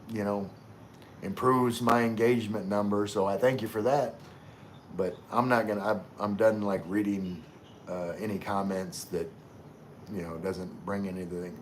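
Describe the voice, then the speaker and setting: A man from Louisiana hosting a casual livestream, reading chat comments aloud and answering in a relaxed, rambling way full of fillers and restarts. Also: lowish voice, slight background noise.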